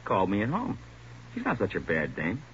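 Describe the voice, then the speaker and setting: A man's voice: lowish voice, huge pitch swings, brisk, fairly bright tone, a little hiss or hum. A young male hoodlum, cocky and sneering, with slangy speech that swings up and down. He is defiant, in 1940s radio crime drama style, on a muffled old recording. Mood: sullen, cocky.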